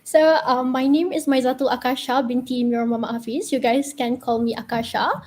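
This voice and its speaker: A young woman from Malaysia introduces herself casually as a law student panelist. Her voice sits fairly high. Faint background noise comes through her video call. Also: fairly even intonation, fairly quick, darkish tone. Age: young adult.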